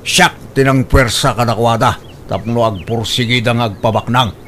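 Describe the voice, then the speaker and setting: A man's voice: mid-range voice, steady tone, moderate pace, fairly bright tone, slight background noise, natural voice. A man with an Ilocano accent playing a stubborn, grasping older father in a radio drama, haggling emphatically. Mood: self-satisfied.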